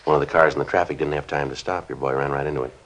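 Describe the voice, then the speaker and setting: An actor playing a terse, matter-of-fact American police sergeant in an old radio crime drama, with a flat, businesslike delivery. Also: male voice, extremely low pitch, racing, slight background noise. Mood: solemn, restrained. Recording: dull, band-limited old radio recording.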